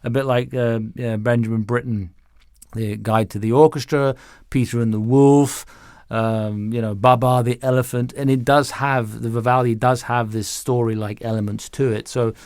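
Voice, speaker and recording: Mature man, interview guest, animated storyteller, self-interrupting, conversational, dry studio sound. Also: medium pitch, moderate pace, clear, resonant tone, no room sound. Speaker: interview guest, music director and violin teacher.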